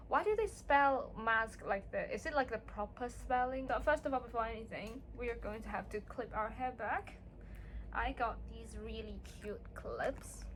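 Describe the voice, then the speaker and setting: A woman's voice: on the high side, a bit bright, slight background noise. A young woman whose first language is Cantonese, chatting casually and self-deprecatingly at home while doing a skincare routine for her vlog. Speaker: beauty vlogger. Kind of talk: casual get-ready-with-me chat. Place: home room.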